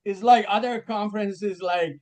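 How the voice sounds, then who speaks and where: A man whose first language is Persian, hosting a video call and halting as he searches for English words. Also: sits high, darkish tone. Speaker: conference host. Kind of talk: casual video call chat.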